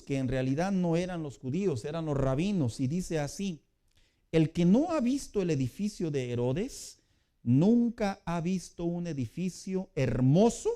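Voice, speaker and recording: A man preaching a sermon as pastor in a conversational way, building to loud emphatic peaks. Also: a little high.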